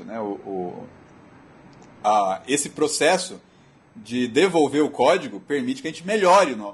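Male technical speaker, Brazilian Portuguese accent, mid-pitched husky voice, explanatory and halting presentation delivery. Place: auditorium.

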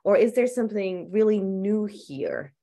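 A woman in her thirties moderating a panel discussion over a webcam, somewhat hoarse, speaking animatedly with rambling questions. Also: medium pitch, measured pace, warm tone. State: losing her voice. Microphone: video call webcam.

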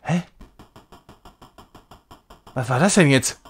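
A man's voice: medium pitch, animated, takes it slowly, slightly rough. A man giving casual live game commentary as a streamer, thinking aloud in short muttered phrases. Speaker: streamer playing a retro puzzle game.

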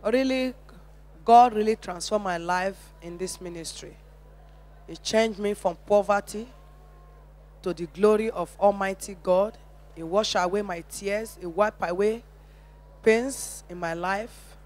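A woman's voice: medium pitch, unhurried, darkish tone. A middle-aged woman with a Nigerian accent gives a devout, earnest testimony, phrasing her thanks with emphasis. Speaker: church member giving testimony. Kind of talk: religious testimony.

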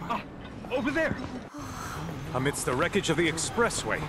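A flustered male TV reporter in a video game delivers an urgent live report. His voice sits fairly high and moves around a lot. Clear vehicle noise runs behind his halting delivery. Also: big pitch swings. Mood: tense, reporting. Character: flustered male TV news reporter at a disaster scene. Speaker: news reporter. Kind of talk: live breaking news report, game voice acting.

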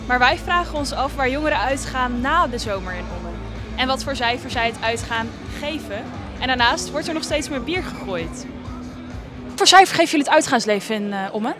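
A young woman asking brisk, upbeat vox pop questions at an outdoor festival, using a handheld mic. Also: high-pitched, expressive. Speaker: street interviewer. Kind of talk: vox pop street interviews. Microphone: handheld reporter mic. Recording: loud crowd and live music outdoors. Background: live music and festival crowd.

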